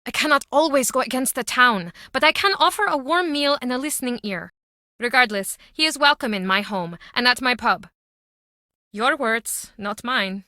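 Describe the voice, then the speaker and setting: Guarded small-town landlady, high and bright. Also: no room sound, studio quality. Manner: measured, terse, matter-of-fact. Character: guarded Icelandic small-town pub landlady, hospitable but insular. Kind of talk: video game character dialogue.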